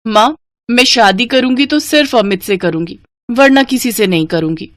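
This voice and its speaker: A woman's voice: fairly high pitch, normal speed, fairly bright tone, no echo, pitched above the performer's own voice, close to an everyday voice. A woman voicing a stubborn, pampered rich young bride in a moral-story cartoon, pleading insistently and dramatically.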